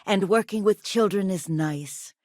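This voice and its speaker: A woman's voice: medium pitch, unhurried, darkish tone, dry recording, clean studio sound, close to an everyday voice. An actress playing an earnest, gracious woman historian and teacher in a video game, articulate and polite. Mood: reflective, wistful. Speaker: video game character voice actor. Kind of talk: scripted game character dialogue.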